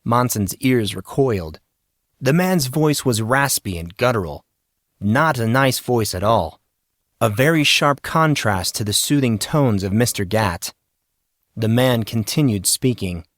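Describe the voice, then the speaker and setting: A man's voice: medium pitch, expressive, a little slow, fairly bright tone, no echo. Man narrating a story, dry recording. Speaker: audiobook narrator. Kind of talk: dramatic scripted story narration.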